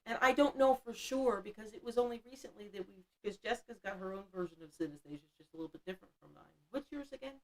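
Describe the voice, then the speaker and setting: Woman, 50s, livestream host; chatty, blunt, self-mocking, quick to laugh. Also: on the high side, darkish tone, rough recording. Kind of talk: casual livestream chat reading the chat.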